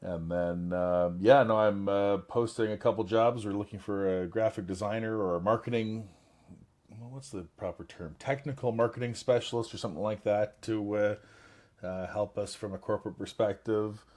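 Brief: man with a very low voice, raspy and hoarse from a recent bug, casual business catch-up, halting delivery. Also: fairly even intonation, darkish tone. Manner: halting, many fillers and restarts. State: hoarse, recovering from illness. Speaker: business owner on a group call. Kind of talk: casual group video call.

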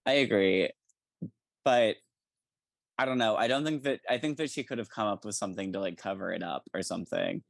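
A podcast co-host of medium pitch talks casually and emphatically, in the manner of someone debating a book with friends. The sound is dry, with very little room to it. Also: a little fast, creaky, no room sound.

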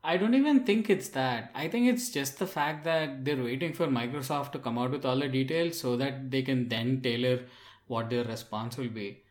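A man's voice: medium pitch, moderate pace. A man co-hosting a casual tech discussion, reasoning things through as he argues his point. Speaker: podcast co-host. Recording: roomy, echoing sound.

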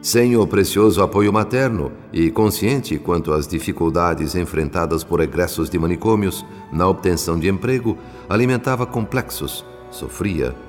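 An actor with a Brazilian accent playing a solemn spirit guardian who narrates a radio drama in formal, measured, literary diction. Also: male voice, deep voice, close to an everyday voice. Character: solemn male spirit guardian recounting a family's troubles. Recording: long reverb, music bed behind. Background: music.

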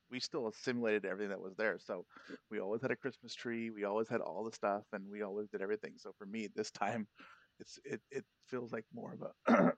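Low voiced guy on a podcast, rambling and restarting, dry sound. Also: no room sound, rough recording. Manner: rambling, self-correcting storyteller. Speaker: podcast host. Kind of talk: casual chat.

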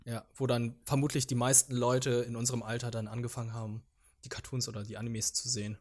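A man's voice: medium pitch, little pitch movement, fast talker. A young man whose first language is Vietnamese, co-hosting a podcast and recalling things in a meandering, hesitant way. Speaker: podcast co-host. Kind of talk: casual chat.

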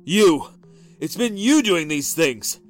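A man voicing a suspicious, volatile husband who talks in a forceful, accusing way. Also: a little high, animated, a little fast, soft background music.

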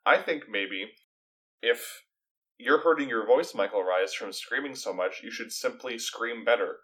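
A man's voice: mid-range voice, measured pace, fairly bright tone, poor audio. A man co-hosting a podcast in casual, opinionated chat, with an emphatic, critical and wry manner. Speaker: podcast co-host.

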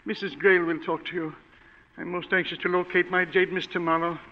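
An actor playing a reserved, wealthy older husband in a 1940s radio drama, speaking in a measured, formal way. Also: male voice, sits high, level tone, natural voice. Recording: dull 1940s radio broadcast with reverb.

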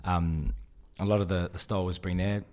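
A man's voice: low-pitched, fairly even intonation, normal speed, darkish tone. A man who manages a market and event venue, chatting in a casual interview with lots of fillers and restarts.

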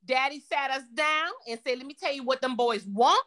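A woman with a bright, fairly high voice shares family stories in a frank, easygoing way. She speaks in a relaxed group conversation. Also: brisk. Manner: frank, storytelling. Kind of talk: casual group chat.

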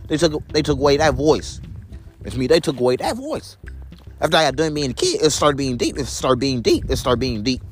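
A young man with a deep voice vlogging to his phone camera while walking outdoors in the rain. He repeats his phrases over and over and keeps calling his viewers "guys". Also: very animated, a little fast, soft background music. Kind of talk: rambling phone vlog on a walk.

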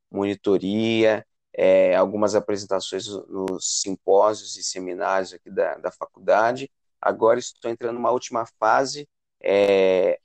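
A man with a Brazilian accent from São Paulo state, explaining things conversationally as an interview guest, often halting and repeating words. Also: lowish voice, vocal fry, darkish tone, no room sound. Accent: Brazilian Portuguese, São Paulo state. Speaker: English teacher and university student, interview guest.

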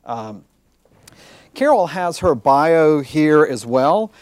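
A man hosting a university lecture series, giving the introduction and interviewing the guest with relaxed pauses and many "uh"s. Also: a little high, no echo. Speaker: university host and moderator. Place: university lecture hall with audience.

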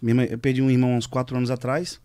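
Quick-talking man from São Paulo. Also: mid-range voice, level tone, dark tone, clean tone. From São Paulo, Brazil. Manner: laughs easily, rambling anecdotes. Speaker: musician, podcast guest. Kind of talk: casual bar-table chat.

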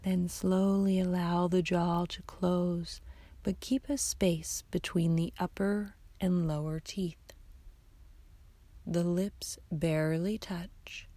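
A woman guiding a meditation in a soft, soothing, hushed voice that turns breathy at times, with unhurried instructions and long pauses. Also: lowish voice, flat delivery, no room sound. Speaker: yoga nidra guide, host of the episodes. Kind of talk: guided meditation narration.

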